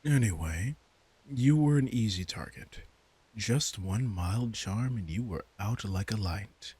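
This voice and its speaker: A man's voice: lowish voice, expressive, slow pace, darkish tone, husky, full character voice. A man playing a commanding, teasing vampire king in a roleplay audio drama, smug and casually menacing as he speaks straight to the listener. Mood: smug, explaining.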